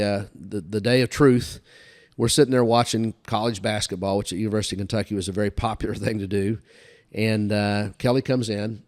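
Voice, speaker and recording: An older man with a fairly low voice tells stories as an interview guest. His delivery is casual and folksy, and he likes to recount who said what. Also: a little mellow. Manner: folksy, recounts dialogue back and forth. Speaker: podcast guest telling career stories. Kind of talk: casual anecdotal storytelling.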